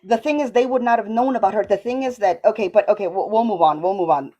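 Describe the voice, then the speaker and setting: A woman's voice: mid-range voice, quick pace, mellow, breathy edge, lo-fi sound. A woman caller from Colombia, earnest and hesitant, restarting her sentences as she presses a point. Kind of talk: call-in debate.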